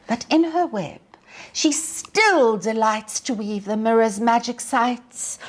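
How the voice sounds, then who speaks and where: A woman teacher introducing and reading a narrative poem to schoolchildren in a deliberate, expressive way. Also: a little high. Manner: deliberate, expressive, addressing children. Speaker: teacher reading a story to pupils. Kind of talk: storytime introduction and dramatic poetry reading.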